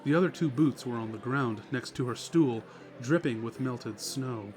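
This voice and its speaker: Male story narrator, medium-pitched voice. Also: normal speed. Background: music.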